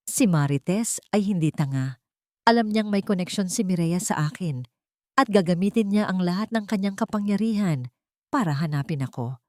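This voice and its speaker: A woman's voice: fairly low pitch, expressive, normal speed, a little mellow, no echo, studio quality. A woman reading a scripted dramatic audio story as its first-person narrator.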